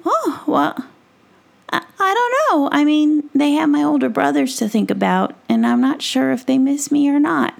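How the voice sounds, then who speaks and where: Woman playing a friendly folksy gnome, eager, a bit hesitant, high lively voice. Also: normal speed, vocal fry, full character voice.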